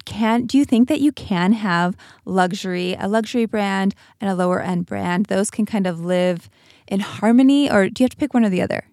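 A woman's voice: mid-range voice, moderate pace, a little mellow, no echo, studio quality. A woman, interviewing in a casual, informal and reactive way as a podcast host. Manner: informal, reactive, rephrases questions as she goes.

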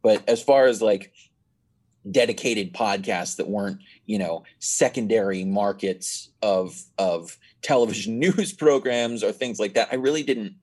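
A man's voice: fairly low pitch, measured pace, darkish tone. A nineteen-year-old man chatting as a podcast guest, telling stories in a rambling way with many restarts. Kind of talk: casual chat.

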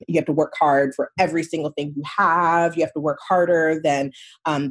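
A young adult woman from Michigan, born in Nigeria, talking casually as a podcast guest, animated and quick to swear and laugh. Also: sits low, dry recording, studio quality. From born in Nigeria, grew up in Michigan, USA. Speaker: guest, mindset coach.

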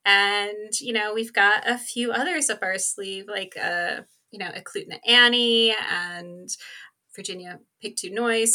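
A woman's voice: mid-range voice, sharp tone. A woman speaking as a podcast guest in an earnest, informal conversational style, often dropping in "like" and "you know". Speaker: podcast co-host being interviewed as guest. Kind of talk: conversational interview talk.

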